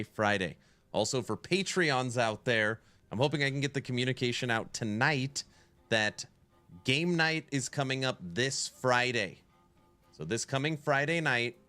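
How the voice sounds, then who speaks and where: A man's voice: medium pitch, dry recording. Guy, stuffy nasal voice from a cold, game streamer reading chat, easygoing, faint music behind. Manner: relaxed, answers chat questions aloud. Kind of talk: casual live-stream chat.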